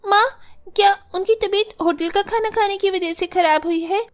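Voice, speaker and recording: A woman's voice: very high-pitched, steady tone, normal speed. A woman playing a stubborn, sulky little girl from a poor family in a cartoon story. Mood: worried.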